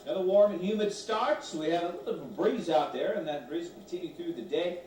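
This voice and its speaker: A man's voice: high-pitched, quick pace, over a noisy crowd. A man giving a conversational television weather forecast. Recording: echoey, distant, noisy programme feed.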